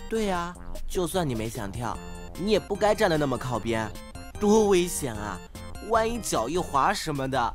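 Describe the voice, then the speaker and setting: A young man acting an earnest, polite, slightly naive studious teenage boy in an audio drama, gentle and encouraging. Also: fairly high pitch, sing-song, normal speed. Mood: worried. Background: background music.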